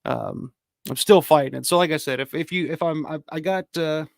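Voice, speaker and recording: A man hosting a casual livestream, hoarse from a cold, rambling and swearing as he reads viewer comments. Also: fairly high pitch, a little fast, dry recording, studio quality. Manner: rambling, profane, frequent restarts. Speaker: livestream host.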